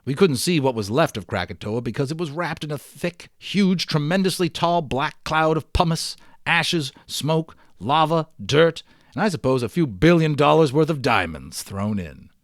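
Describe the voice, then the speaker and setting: Male storyteller, mid-pitched, expressive and enthusiastic, amateur read-aloud of a children's novel with chatty asides, dry recording. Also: no echo. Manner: expressive, enthusiastic storyteller, emphasizes and draws out words. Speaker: amateur audiobook reader.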